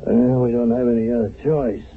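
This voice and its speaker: An actor playing a flustered American army captain in a radio drama, halting and fretful, with a gravelly voice. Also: male voice, mid-range voice, fairly even intonation, a little hiss or hum, close to an everyday voice. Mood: worried. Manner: halting, fretful, hesitant delivery. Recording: muffled, narrow-band old radio broadcast.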